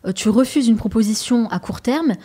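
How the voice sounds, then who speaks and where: Host, woman, mellow and warm tone, calm measured questioner. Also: a little high, husky. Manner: calm, measured questions with brief back-channel replies. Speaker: podcast host. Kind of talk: interviewing a guest.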